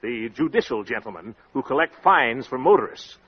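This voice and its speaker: Pompous blustering man, swooping husky voice. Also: medium pitch, animated, normal speed. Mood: boastful. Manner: pompous, flowery, evasive. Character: blustering, boastful husband and son-in-law, full of pompous talk. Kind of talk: 1940s radio comedy acting. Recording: dull vintage radio recording.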